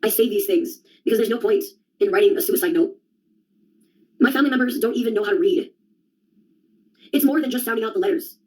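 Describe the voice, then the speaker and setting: A woman's voice: on the high side, brisk, long pauses, darkish tone, poor audio. A young adult woman in a rambling solo monologue, sardonic and profane, jumping from one topic to the next. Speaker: vlogger.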